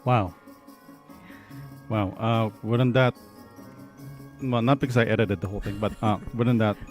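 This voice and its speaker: A man's voice: on the low side, steady tone, measured pace, faint music behind. A man co-hosting a livestream holiday concert, chatting casually in a rambling, joking way that breaks into chuckles.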